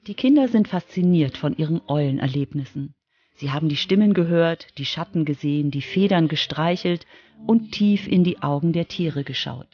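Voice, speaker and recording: A woman's voice: low voice, normal speed, husky, muffled recording. A woman narrating a scripted children's radio feature as its reporter. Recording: music under the voice.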